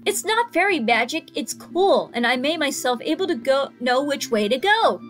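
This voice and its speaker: A woman's voice: high voice, expressive, normal speed, a bit bright. A young adult woman reacting to a video, reading out its captions and commenting, acting out the dialogue with exaggeration. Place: small indoor room. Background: music from the video being watched.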